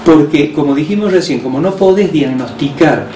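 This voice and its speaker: A man with an Argentine accent, a hospital director who explains health measures on the radio in a measured way, with frequent hesitations. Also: fairly high pitch, mellow. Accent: Argentine Spanish. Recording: roomy distant mic with faint noise.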